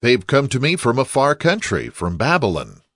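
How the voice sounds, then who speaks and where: A man's voice: mid-range voice, wildly swooping pitch, moderate pace, a bit bright, slightly rough, dry recording, studio quality, full character voice. An actor playing an ailing, devout king in a dramatized scripture reading, speaking earnestly and theatrically. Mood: composed. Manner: earnest, poetic, theatrical delivery.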